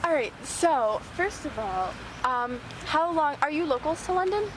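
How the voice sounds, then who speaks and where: A woman's voice: high-pitched, animated, a little slow. A young woman interviewing people on the street, asking her questions hesitantly with many fillers and restarts. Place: outdoors on a busy city street. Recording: street traffic noise behind.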